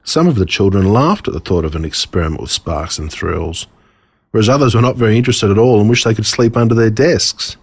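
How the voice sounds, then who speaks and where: Australian male storyteller, slightly deep and raspy, deliberate and theatrical delivery for horrible children's tales. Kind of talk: scripted storybook narration told as a boy.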